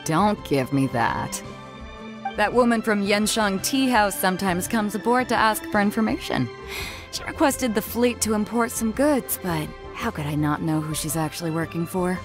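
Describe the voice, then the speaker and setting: Woman as a bold female sea captain, blunt, wry, mid pitch, clear music behind. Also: lively intonation, normal speed, close to an everyday voice. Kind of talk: video game character dialogue. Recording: game audio over loud music.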